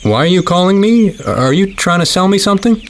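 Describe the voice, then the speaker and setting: Gruff suspicious man, blunt and combative, lively pitch, faint noise behind, audio drama. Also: brisk. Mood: wary. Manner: wary, blunt and combative on the phone.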